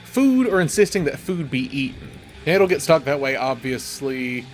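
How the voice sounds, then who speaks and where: A man's voice: medium pitch, normal speed, soft background music. A man hosting a horror game, mixing playful, wisecracking commentary with story reading. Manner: animated, wisecracking.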